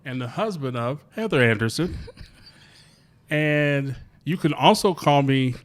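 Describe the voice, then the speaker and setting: A man's voice: fairly high pitch, lively intonation, measured pace. A man, the host's father, asking questions in a playful, joking way with many fillers. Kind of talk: casual interview chat.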